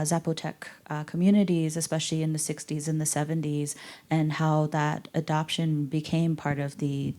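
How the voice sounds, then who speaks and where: A young adult woman artist talking in a measured, thoughtful way, with some fillers. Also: deep voice, mellow. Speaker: artist in conversation. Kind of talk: reflective conversational talk.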